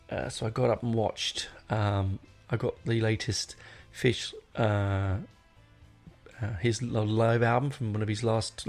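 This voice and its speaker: Middle-aged man, gravelly, rambling podcast host. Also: lowish voice, a little mellow. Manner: rambling, digressive, self-correcting. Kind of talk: casual unscripted solo podcast chat.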